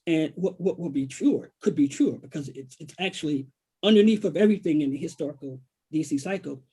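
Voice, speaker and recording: An American man, a writer, speaking reflectively and haltingly in a literary conversation, repeating phrases for emphasis. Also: fairly high pitch, mellow, husky. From Washington, D.C., United States. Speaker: poet and writer, guest author.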